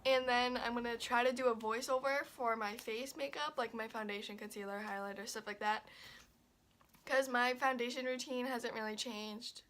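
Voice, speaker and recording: A young woman chatting through a makeup tutorial. She sounds stuffy and a little raspy, and she rambles with lots of fillers and restarts. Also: fairly high pitch, fairly even intonation, moderate pace, a bit bright, a little hiss or hum. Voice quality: slightly raspy. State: stuffy and congested. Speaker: beauty vlogger.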